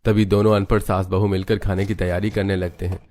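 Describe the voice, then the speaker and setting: A man's voice: low voice, little pitch movement, brisk, darkish tone. A man reading scripted narration for a moral story.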